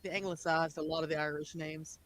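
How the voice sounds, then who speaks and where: A man's voice: fairly high pitch, little pitch movement, a little fast, a bit bright, dry recording. A man with a West Virginia accent chatting casually on a video call panel, rambling a little as he goes. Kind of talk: casual group chat.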